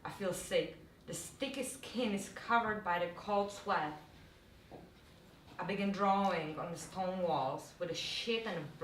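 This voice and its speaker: A woman's voice: medium pitch, fairly bright tone. A woman reading a first-person literary text aloud at a live event in a bar. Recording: echoey, distant live recording with faint noise.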